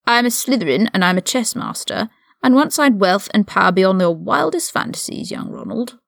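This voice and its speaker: A woman voicing an older aristocratic male wizard prisoner, haughty and mocking, with measured, condescending, formal speech in an audiobook-style story reading. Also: medium pitch, big pitch swings, creaky, no echo, studio quality. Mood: annoyed, disdainful.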